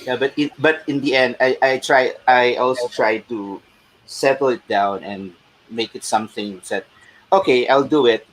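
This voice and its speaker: A man reflects on his improv scene in a hesitant, halting way, repeating words as he searches for them. A faint background noise runs under his voice. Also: medium pitch, poor audio. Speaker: workshop participant. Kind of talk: casual reflection.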